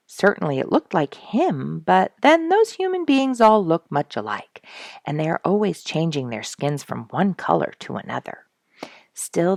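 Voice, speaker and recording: A woman reading a story aloud to children as a storyteller, expressively and with hushed emphasis. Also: deep voice, gravelly, dry recording, studio quality.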